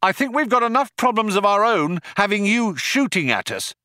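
A man voicing an exasperated, sardonic man who shouts back while under fire, in a storybook reading. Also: high voice, sing-song, moderate pace, no echo, clean studio sound, pitched above the performer's own voice, full character voice. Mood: exasperated, shouting.

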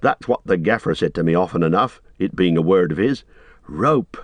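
A man voicing a loyal, excitable hobbit servant who chatters anxiously in rustic, deferential dialect, as in an audiobook. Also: sits low, normal speed, dry recording. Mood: excited rambling.

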